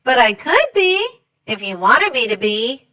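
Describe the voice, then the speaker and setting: A woman's voice: on the high side, big pitch swings, unhurried, pushed and bright, distant mic, voice pushed up, exaggerated voice. A woman voicing an eager, chatty storybook monster with a chummy, insistent way of talking. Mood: eager, clingy.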